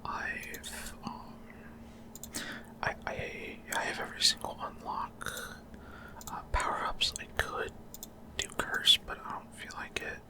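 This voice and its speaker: Male ASMR gaming host, whispered throughout, soft and unvoiced, halting commentary with frequent mid-sentence pauses.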